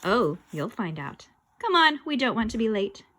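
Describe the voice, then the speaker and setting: A woman voicing the spooky, commanding ghost of a young girl in a children's audiobook, with a drawn-out, eerie delivery. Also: a little high, big pitch swings, bright, forceful tone, heavily acted. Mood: eerie, beckoning.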